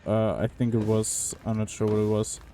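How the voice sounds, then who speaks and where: A man's voice: lowish voice, monotone, moderate pace, warm tone, slight background noise. A young man streaming a game, casually commenting and chatting with his viewers in a puzzled, talkative way. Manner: puzzled, chatty, talks to chat. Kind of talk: casual live-stream commentary while playing.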